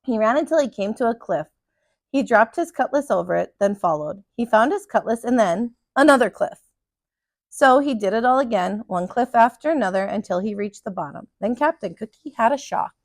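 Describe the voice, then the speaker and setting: Animated woman reading a children's storybook. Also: a little high, moderate pace, dry recording, studio quality. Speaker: teacher reading a picture book aloud. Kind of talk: expressive storybook read-aloud for children.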